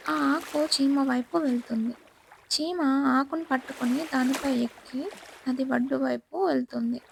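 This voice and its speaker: A woman's voice: high voice, measured pace, gravelly, slight background noise. A woman storyteller reading a scripted children's moral tale as narrator.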